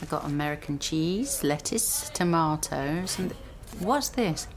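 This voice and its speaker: A woman's voice: low-pitched, expressive, unhurried. An actress playing a chatty, eager university lecturer who teases her colleague in a radio drama. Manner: chatty, teasing. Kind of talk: naturalistic radio drama acting.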